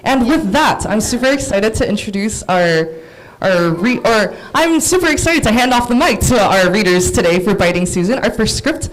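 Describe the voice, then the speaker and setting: A woman's voice: mid-range voice, lively intonation, normal speed, poor audio. Upbeat woman hosting a live event. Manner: upbeat, chatty, with restarts. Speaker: event host. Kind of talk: casual welcome speech.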